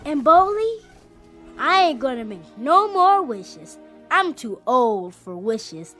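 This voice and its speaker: A woman's voice: high voice, very animated, takes it slowly, fairly bright tone, faint music behind, heavily acted. A woman playing an earnest, pleading young boy in a radio drama. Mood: subdued, sad. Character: earnest young boy who believes in magic.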